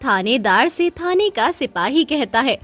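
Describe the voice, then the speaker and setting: A woman narrates a scripted story for children. Her voice is high. It is recorded dry, with faint music behind it. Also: very animated, measured pace, fairly bright tone, dry recording.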